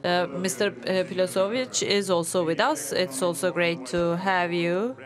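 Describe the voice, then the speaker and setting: A woman working as a simultaneous interpreter, rendering a formal speech into English with a measured delivery. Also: lowish voice, crowd noise.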